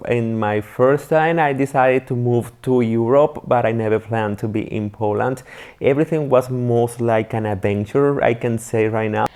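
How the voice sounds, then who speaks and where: A man's voice: mid-range voice, fairly even intonation, moderate pace, darkish tone, smooth. A man from Colombia, reflecting easygoingly in an interview. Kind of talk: casual interview answers.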